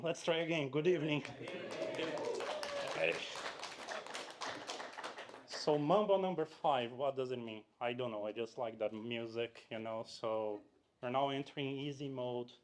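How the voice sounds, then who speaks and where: A man's voice: mid-range voice, a little hiss or hum. A man from Brazil giving a casual technical talk to a live audience, chatty and full of fillers and restarts, often asking the crowd questions. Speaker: conference speaker. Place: meetup room in front of an audience.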